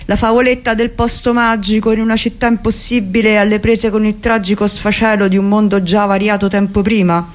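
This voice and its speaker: A woman's voice: mid-range voice, level tone, brisk, hardly any pauses. Wry woman with an Italian accent.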